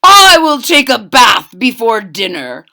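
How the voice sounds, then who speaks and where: A woman puts on a bossy male storybook villain who hunts crocodiles. He is smug and gloating, giving orders in a high, bright, wildly swinging voice. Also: huge pitch swings, takes it slowly, creaky, exaggerated voice. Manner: commanding, gloating.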